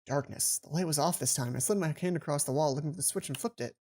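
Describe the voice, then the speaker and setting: A man's voice: a little high, fast, warm tone, a little hiss or hum. A young man hosting a let's play, reading a visual novel aloud and adding casual commentary. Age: young adult. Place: small indoor home room. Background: game music.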